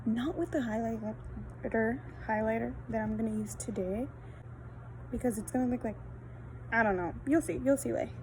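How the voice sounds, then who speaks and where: A woman's voice: fairly high pitch, darkish tone, poor audio. A young adult woman whose first language is Spanish, chatting casually and rambling with lots of fillers while she does a makeup tutorial outdoors in a backyard. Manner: casual, rambling, self-deprecating, frequent fillers. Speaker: beauty vlogger. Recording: outdoor wind and ambient noise.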